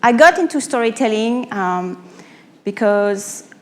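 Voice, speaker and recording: A woman from France with a French accent giving a live talk as an author and coach, explaining through rhetorical questions with pauses and self-corrections. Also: on the high side, lively intonation. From Normandy, France. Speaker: speaker, author and pitch coach. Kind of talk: live public talk to an audience.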